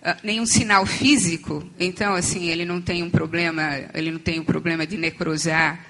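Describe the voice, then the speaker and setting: A woman speaks at a conference with a hoarse, strained voice, near to losing it. Her tone is bright and sharp despite the hoarseness. She explains step by step, pausing on fillers and starting sentences over. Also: lowish voice, steady tone. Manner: explanatory, with frequent fillers and restarts. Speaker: conference panel speaker on addiction treatment. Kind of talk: academic conference talk. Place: conference hall.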